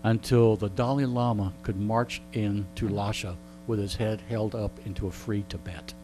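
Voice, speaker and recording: An older man tells animated anecdotes in a casual interview, repeating phrases and often checking in with a short "okay?". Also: on the low side, normal speed. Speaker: radio veteran being interviewed. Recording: clear background noise with hum.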